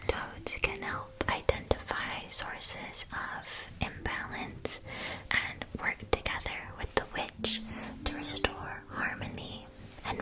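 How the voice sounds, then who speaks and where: A woman playing a gentle, attentive witch shopkeeper in a scripted ASMR roleplay, whispering soft, measured, soothing explanations. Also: lightly acted, rough recording. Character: gentle, attentive witch school supply shopkeeper advising a student.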